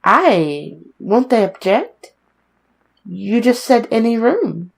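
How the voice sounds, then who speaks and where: A woman's voice: fairly high pitch, big pitch swings, takes it slowly, gravelly, exaggerated voice. A woman playing a timid, polite young newcomer in a radio suspense drama, meek, hesitant and deferential. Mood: hesitant, uneasy. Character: timid, polite, apologetic young woman starting a new job. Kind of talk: old-time radio suspense drama acting.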